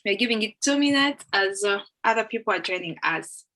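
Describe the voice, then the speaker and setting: A woman's voice: medium pitch, lively intonation, a little fast, bright, forceful tone. A young woman, hosting a live video-call session in a chatty, welcoming way with lots of fillers. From Uganda. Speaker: host. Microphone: laptop or phone mic on a video call.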